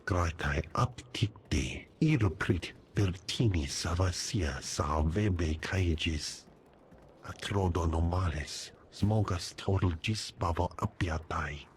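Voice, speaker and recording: Game alien: low, measured, solemn invented tongue, ambient noise behind. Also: male voice.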